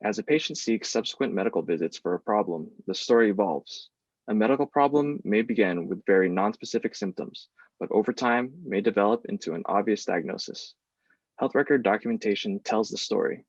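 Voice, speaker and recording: A man with a husky, lowish voice gives a prepared explanation as a panelist. The recording is dry and muffled, somewhat lo-fi. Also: a little fast, dry recording. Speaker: research team panelist. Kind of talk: scripted explanatory answer, read out. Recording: dull, lo-fi, dry sound.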